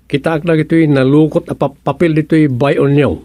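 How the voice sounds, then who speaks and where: A man's voice: fairly high pitch, fairly even intonation, fairly quick, warm tone, no echo, natural voice. A man acting in a comedic radio drama as an earnest, helpful villager who explains things conversationally. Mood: earnest, concerned.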